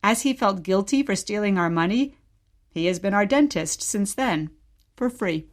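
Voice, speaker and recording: A woman's voice: mid-range voice, lively intonation, moderate pace, close to an everyday voice. A woman playing a wistful mother reminiscing about exile and telling her story simply in a radio play. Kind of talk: short radio play dialogue, bedtime storytelling.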